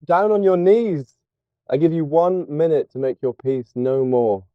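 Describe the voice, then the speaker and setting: A man's voice: a little high, a little slow, no room sound, phone-quality sound, pitched above the performer's own voice, natural voice. A man voicing a vengeful, brutish sailor in an audiobook, with a threatening, commanding manner. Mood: harsh, urgent. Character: vengeful, brutish sailor demanding revenge for his sister. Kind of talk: dramatised audiobook character reading.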